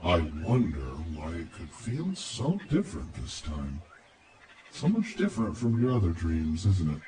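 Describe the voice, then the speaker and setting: A man's voice: low voice, huge pitch swings, unhurried, warm tone, recorded from a distance, slight background noise, full character voice. A man voicing a cocky, teasing androgynous dream spirit guide with a sardonic, playful, theatrical manner. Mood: musing. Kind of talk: character voice acting.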